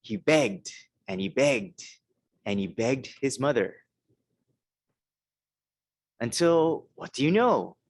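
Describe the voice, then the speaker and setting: A hoarse man, a minister, giving a conversational talk and then reading a children's story, often checking in with his listeners. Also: mid-range voice, huge pitch swings, slow, long pauses, no room sound. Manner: conversational, keeps checking in with "okay?" and "right?".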